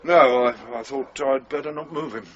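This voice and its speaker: An actor playing a deferential uniformed constable in a naturalistic radio drama, hesitant and subdued. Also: male voice, mid-range voice, normal speed, close to an everyday voice. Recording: dull radio recording, faint ambience.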